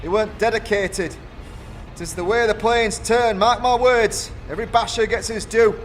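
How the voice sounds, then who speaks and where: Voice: mid-range voice, steady tone, moderate pace, slight background noise, pitched above the performer's own voice, close to an everyday voice. A man voicing a bullying, self-important foundry supervisor with pompous, folksy rustic speech. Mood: sanctimonious, defensive.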